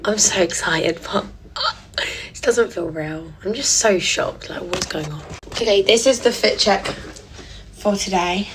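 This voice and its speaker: Young woman, casual giggly vlogger, mid-height voice, slips into soft whispers. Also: mid-range voice, expressive, measured pace, a little hiss or hum. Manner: chatty and giggly, drops to a soft near-whisper at times. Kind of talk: casual get-ready-with-me chat.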